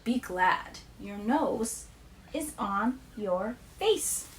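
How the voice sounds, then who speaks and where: A woman teacher reads poetry aloud for young listeners. Her delivery is expressive and unhurried, with a medium pitch. She sounds set back somewhat from the microphone. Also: distant mic, background noise. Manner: deliberate, expressive storytelling delivery. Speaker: teacher reading poems aloud to schoolchildren. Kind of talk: expressive read-aloud of children's poems.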